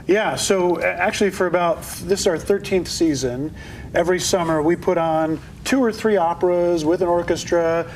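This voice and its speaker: This man talks about his opera festival with real enthusiasm, laying things out clearly for a morning TV audience. His voice sits unusually high for a man. A faint hum of noise hangs behind him in the studio. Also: moderate pace, low-quality recording. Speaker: opera festival executive director. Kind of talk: morning TV interview guest.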